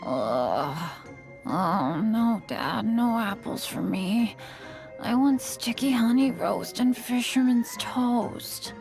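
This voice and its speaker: Sleepy teenage boy, highish voice, very slow, mumbled, good-natured, anime game. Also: animated, music in the background. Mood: drowsy. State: drowsy, mumbling. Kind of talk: anime video game voice acting.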